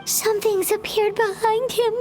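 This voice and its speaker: Tiny childlike game sprite, extremely high squeaky voice, excitable, swooping, loud music behind. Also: female voice, normal speed. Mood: startled. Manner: squeaky, speaks of herself in third person. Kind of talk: video game voice acting.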